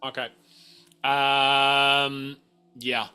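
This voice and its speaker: A man's voice: mid-range voice, little pitch movement, extremely slow, pushed and bright, smooth, soft background music. A man running a tabletop game as game master, explaining and thinking aloud as he improvises.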